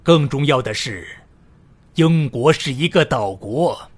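Male actor as worried diplomat, husky, very varied intonation, formal, cautious, audio drama. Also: medium pitch, expressive, takes it slowly. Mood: concerned, cautious.

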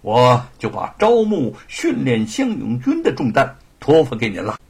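A man's voice: mid-range voice, wildly swooping pitch, measured pace, creaky, a little mellow, slightly rough, full character voice. A man voicing a confident, patriotic scholar-official rallying defenders in a historical story, earnest, formal and stirring. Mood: respectful. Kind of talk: dramatised Chinese historical storytelling.

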